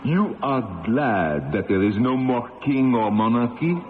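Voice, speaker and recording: A man's voice: mid-range voice, expressive, unhurried, narrow, tinny audio, close to an everyday voice. Stern, swooping-voiced tribunal judge, played by a man. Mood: accusing. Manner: formal, interrogating, declamatory. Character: stern, accusing male revolutionary tribunal judge. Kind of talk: 1970s radio drama acting. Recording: thin old radio recording, reverberant, faint noise.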